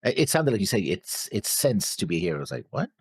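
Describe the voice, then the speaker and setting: A man's voice: fairly low pitch, big pitch swings, fast talker, slightly rough, no room sound, studio quality. A man chatting casually as a reaction show co-host, agreeing and repeating his words as he goes. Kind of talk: casual chat, discussing an anime episode.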